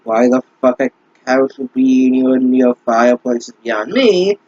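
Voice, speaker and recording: A man's voice: medium pitch, animated, measured pace, a little mellow, dry recording. A young man reading a bad fanfic aloud and mocking it with exasperated, profane, sarcastic remarks. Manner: sarcastic, exasperated, swears often. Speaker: fanfic reader and commentator. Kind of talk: mocking commentary on a bad story. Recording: dull lo-fi dry mic.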